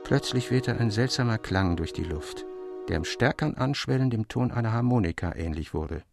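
A man narrating a literary tale from a script in a measured, formal way. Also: fairly low pitch, animated, creaky, music in the background. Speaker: audiobook narrator.

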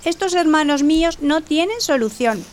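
A woman's voice: sits high, animated, normal speed, a little hiss or hum. A woman voicing a practical, confident young sister pig, sensible and reassuring, in a children's radio play. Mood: composed.

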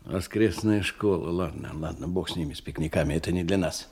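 A man's voice: low voice, fairly quick, darkish tone, husky, exaggerated voice. A man in a Russian radio drama playing a menacing, condescending crime boss who questions impatiently and broods. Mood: threatening, commanding. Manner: bullying, interrogating, repeats himself broodingly.

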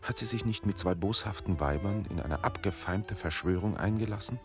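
An actor playing a sceptical, philosophising gentleman in a German radio play, pondering and admonishing. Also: sits low, a little fast, music in the background. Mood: sceptical, puzzled. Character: sceptical, philosophising gentleman, scolding his servant companion.

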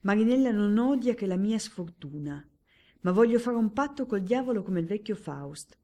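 Woman doing a bitter boastful baron, louder and higher than her dark reading voice, scoffing a lot. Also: expressive, normal speed, natural voice. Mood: defiant. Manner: loud, scornful, theatrical outbursts. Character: reckless ruined male baron gambler, bitter and boastful. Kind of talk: audiobook character voice.

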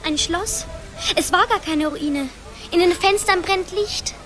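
A woman's voice: very high voice, slow, full character voice. A woman acting a blunt, feisty little girl, the younger sister in a radio play. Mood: alarmed. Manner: blunt, lively. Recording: music behind the voice.

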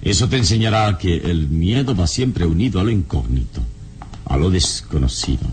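A wise, fatherly adventure hero with a very deep voice that moves expressively. He speaks in a calm, didactic way in old radio serial style. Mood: calm, reassuring. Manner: measured, didactic.

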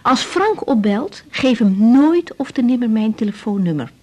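A woman's voice: on the high side, animated, normal speed, a little mellow. An actress playing an intense, caring young woman doctor with a Netherlands Dutch accent in a radio drama, speaking in a confiding, insistent manner. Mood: exasperated, firm. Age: young adult. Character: intense young female doctor, caring but exasperated daughter. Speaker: lead actress in radio serial. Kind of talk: 1980s radio drama, intimate monologue and dialogue.

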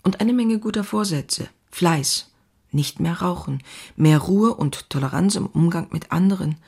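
An actress playing a passionate, anxious woman writer in her thirties, reading her diary in an intimate, confessional way with a husky, breathy voice. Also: on the low side, unhurried, a little mellow, no room sound, studio quality. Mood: restless, hopeful. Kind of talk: dramatic literary diary reading.

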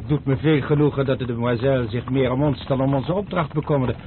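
A man's voice: medium pitch, quick pace, close to an everyday voice. An actor playing a loyal but grumbling male servant who speaks formally and deferentially in a radio drama. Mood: skeptical. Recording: tinny noisy old broadcast.

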